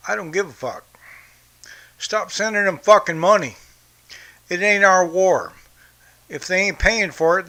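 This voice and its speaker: A man's voice: high-pitched, sharp tone. A man hosting a podcast, from the United States, ranting bluntly and profanely about politics. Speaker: podcast host. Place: home in Brazil.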